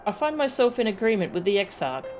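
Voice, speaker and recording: A woman voicing a courteous, solemn fantasy leader from a video game in formal, measured phrases, with music behind her. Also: mid-range voice, big pitch swings, a little mellow, pitched above the performer's own voice, close to an everyday voice. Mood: grave, formal.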